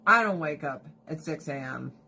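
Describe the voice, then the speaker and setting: A woman live streaming to her viewers in casual chat, blunt and profane, animated and laughing often, close to her phone camera. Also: low-pitched, normal speed, gravelly, slight background noise. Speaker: direct sales rep live streaming. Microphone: phone or camera held close.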